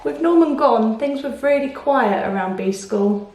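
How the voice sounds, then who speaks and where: A woman reading a picture book aloud to children, expressive, with explanations and questions to the listener. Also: sits high, unhurried, slight background noise. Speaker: children's storyteller. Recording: echoey room.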